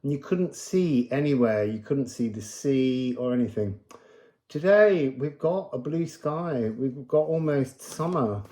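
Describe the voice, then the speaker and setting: Male card reader, unhurried and soft-edged in tone, improvising mystical thoughts with a digressive, musing delivery. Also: mid-range voice. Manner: musing, digressive, breaks into chanted phrases. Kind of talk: unscripted spiritual reading to viewers.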